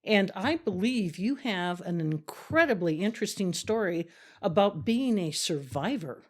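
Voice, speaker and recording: A woman's voice: mid-range voice, expressive, measured pace. Female podcast host from South Dakota, friendly studio interviewer.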